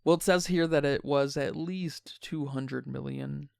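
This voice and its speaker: A man's voice: mid-range voice, steady tone, unhurried, vocal fry, clean tone, no echo, clean studio sound. A man hosting a podcast, casually discussing a film and addressing his listeners. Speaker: podcast host.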